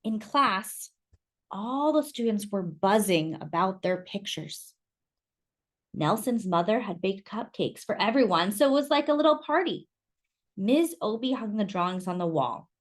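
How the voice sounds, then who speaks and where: A woman reading a children's picture book aloud with expressive, animated narration and quiet asides. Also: medium pitch, a little slow. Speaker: storyteller.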